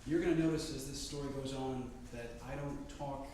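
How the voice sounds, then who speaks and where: A man in his twenties telling a story on stage, choosing and enunciating each word carefully, with deliberate pauses. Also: mid-range voice, fairly even intonation, dark tone, slight background noise. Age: 20s. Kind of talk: live storytelling performance. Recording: roomy live-venue sound.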